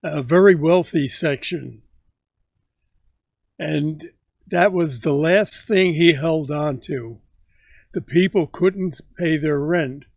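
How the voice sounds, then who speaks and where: A man in his nineties recalling his childhood for a family interview, speaking slowly with halting pauses. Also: a little high, vocal fry, no room sound. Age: 90s. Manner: slow, halting recollection with many pauses. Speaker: grandfather telling stories.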